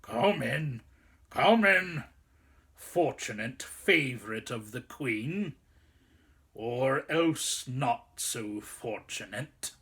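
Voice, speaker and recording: A storyteller voicing a menacing grey wolf guard, growling and slow. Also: medium pitch, expressive, fairly bright tone, slightly rough, exaggerated voice. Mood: mocking. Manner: slow, threatening. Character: menacing grey wolf, chief of secret police.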